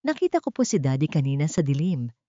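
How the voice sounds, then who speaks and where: A woman's voice: low-pitched, sing-song, fast, dark tone. A woman voicing a small, frightened, drowsy little girl in an audiobook drama. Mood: fearful, drowsy. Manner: weak, dreamy, whispery. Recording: muffled, echoey sound.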